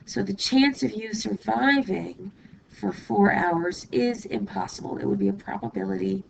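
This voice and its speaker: A hoarse woman teaching math, her voice very gravelly and rough from illness. She walks through each step patiently, pausing as she writes and checking that students follow. Steady background noise sits under the recording. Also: mid-range voice, poor audio. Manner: patient step-by-step explaining, asks "okay?"; writes while talking, pausing mid-sentence. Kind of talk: recorded classroom lesson.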